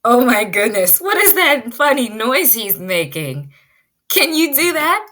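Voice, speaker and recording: A woman teaching pre-kindergarten children in an animated, child-directed way, asking questions as she leads a read-aloud. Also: fairly high pitch, unhurried.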